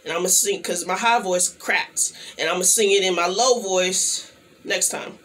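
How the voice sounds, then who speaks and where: A young woman chatting casually to the camera in a small room, clearing her throat now and then. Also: lowish voice. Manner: slow, relaxed talk with frequent throat clearing. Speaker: channel host. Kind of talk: casual vlog chat. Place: small indoor room, plain backdrop.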